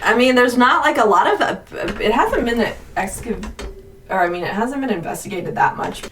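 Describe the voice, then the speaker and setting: A woman's voice: fairly high pitch, expressive, normal speed, fairly bright tone. A woman co-hosting a paranormal podcast, chatting and telling stories casually in a rambling way peppered with filler "like". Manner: rambling, heavy use of "like". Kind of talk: casual chat and storytelling. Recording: distant mic with background noise.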